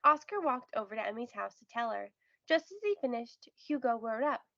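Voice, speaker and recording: Female storybook reader, high register with creak, expressive and careful narration, roomy home recording. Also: moderate pace, muffled recording. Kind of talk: children's read-aloud narration.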